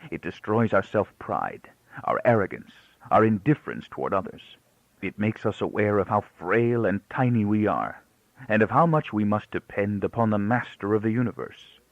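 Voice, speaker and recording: Male narrator as grieving elderly rabbi: grave, halting, creaky, widely swelling and falling delivery. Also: lowish voice, lively intonation, no room sound, lo-fi sound. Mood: pained, earnest. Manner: quiet, solemn, halting speech with emphatic repetition.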